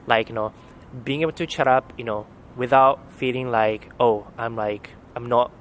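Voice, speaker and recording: A man's voice: mid-range voice, steady tone, moderate pace, slight background noise, low-quality recording. Man weighing his words outdoors. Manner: thoughtful, searches for words, many fillers. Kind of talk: casual conversation.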